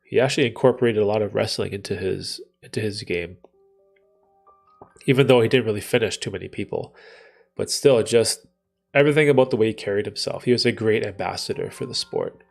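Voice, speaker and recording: A man's voice: on the low side, brisk, vocal fry, soft background music. A man from Canada hosting a live Q&A stream, talking with a stuffy nose from a cold and rambling through his thoughts with fillers and restarts. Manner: rambling, thinking aloud with many fillers and restarts. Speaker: livestream host answering chat. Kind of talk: casual live Q&A chat.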